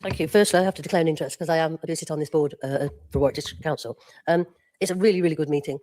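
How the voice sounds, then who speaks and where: A chatty councillor praises officers in a council meeting, often repeating words. The voice is mellow and dry-sounding, and the pace is very quick. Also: medium pitch, fairly even intonation, dry recording. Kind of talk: formal speech in a council meeting.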